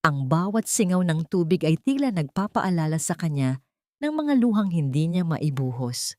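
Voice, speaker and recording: A woman's voice: on the low side, very animated, normal speed, a little mellow, no echo, studio quality. A woman reading a scripted dramatic story as narrator, in a solemn, dramatic manner.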